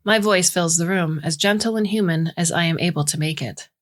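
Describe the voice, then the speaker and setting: A woman voicing a gentle, observant female AI home assistant, narrating a story in measured, formal diction. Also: lowish voice, lightly acted. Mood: gentle, earnest. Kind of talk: scripted first-person story narration.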